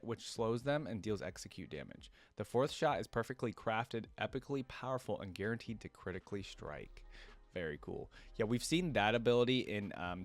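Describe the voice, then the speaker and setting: A man's voice: mid-range voice, no echo. A man hosting a reaction video, giving casual commentary and sometimes reading descriptions aloud.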